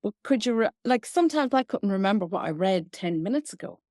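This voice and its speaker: A woman's voice: medium pitch, expressive, fairly quick, warm tone, dry recording, studio quality. A woman with an Irish accent hosting a podcast interview, asking casual, chatty questions. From Ireland. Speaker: podcast host.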